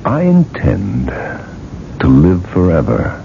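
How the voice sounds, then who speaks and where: An actor playing a proud, stubborn, wealthy older industrialist in a radio drama, with a gravelly, self-assured delivery. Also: male voice, low voice, huge pitch swings, takes it slowly, warm tone, a little hiss or hum, exaggerated voice. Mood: haughty, assured. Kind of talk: radio drama acting and first-person narration. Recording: dull vintage radio broadcast, music behind.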